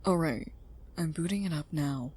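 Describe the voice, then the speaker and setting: A woman's voice: low voice, moderate pace, background noise, lightly acted. A woman playing an earnest, curious scientist in a scripted audio drama. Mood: calm, businesslike. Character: earnest, curious female scientist who built a robot. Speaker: voice actor in an audio roleplay.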